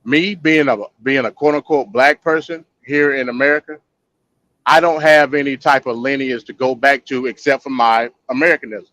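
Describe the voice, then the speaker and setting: A man's voice: mid-range voice, fairly even intonation, normal speed, fairly bright tone, no echo. Probing man hosting a live call-in. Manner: probing, repeats words while pressing a point. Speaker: host.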